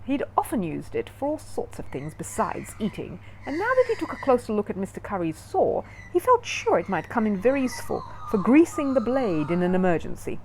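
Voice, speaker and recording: A woman storyteller narrating a children's book in an expressive read-aloud style. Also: mid-range voice, brisk, few pauses, background noise.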